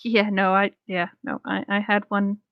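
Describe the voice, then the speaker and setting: A woman's voice: mid-range voice, monotone, normal speed, smooth, no room sound, muffled recording. A woman chatting casually and reading a story aloud, in an informal, rambling way.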